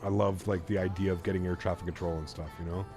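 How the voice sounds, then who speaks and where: A man in his thirties streaming a flight sim, chatting casually with viewers and telling a story, relaxed and chatty with plenty of fillers. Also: sits low, fast, over music. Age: 30s. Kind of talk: casual live-stream chat reading viewer comments.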